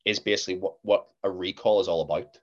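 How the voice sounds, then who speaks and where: A man in his twenties with a Northern Irish accent, an actor chatting as a podcast guest, lively and acting out what others said to him. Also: sits low, fast talker, lo-fi sound. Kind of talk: casual interview chat.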